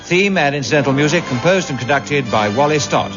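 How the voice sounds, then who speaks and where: A man's voice: on the high side, animated, fairly quick. Formal old radio announcer, man. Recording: dull vintage radio recording with music. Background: theme music.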